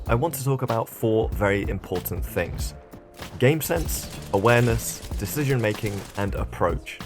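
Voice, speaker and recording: A man with a croaky, hoarse voice, giving a brisk tutorial voice-over with self-mocking asides. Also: fairly low pitch. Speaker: gaming YouTuber.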